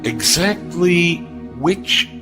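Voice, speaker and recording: An actor playing a retired starship captain in an audio drama, wry, understated and measured. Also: male voice, sits high, expressive, music in the background, full character voice. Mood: probing.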